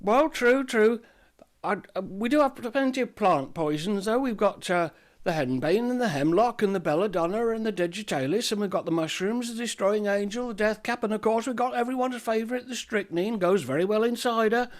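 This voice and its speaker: A man doing a high chirpy shopkeeper. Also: a little fast, lightly acted. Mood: chirpy, salesy. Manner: eager salesman patter, cheerful. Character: fussy chatty male apothecary selling poisons.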